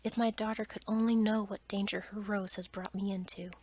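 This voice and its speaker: A woman voicing a frightened, humble merchant father from a storybook in a breathy whisper, pleading politely and formally. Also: mid-range voice, flat delivery, fairly quick, a little mellow, a little hiss or hum, close to an everyday voice. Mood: despairing.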